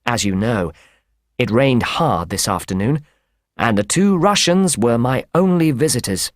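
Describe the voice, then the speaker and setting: A man reads the part of an earnest doctor in an audiobook. He is formal and emphatic, speaking slowly with animated intonation. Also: mid-range voice, no room sound, clean studio sound, natural voice. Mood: earnest, concerned. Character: earnest male doctor recounting a troubling case. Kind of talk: audiobook character voice.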